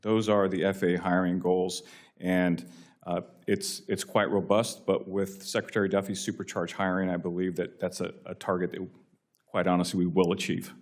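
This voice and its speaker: A man's voice: deep voice, level tone, moderate pace. An American man giving formal testimony as an agency official, with deferential, explanatory phrasing. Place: legislative hearing room.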